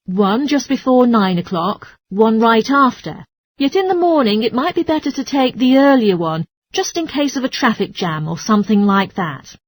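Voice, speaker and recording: Donna che recita un'impiegata cortese, voce piuttosto acuta. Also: female voice, on the high side, expressive, moderate pace, dry recording. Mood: courteous, helpful. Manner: courteous, helpful. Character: helpful female transport information desk clerk. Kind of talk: scripted language-test dialogue.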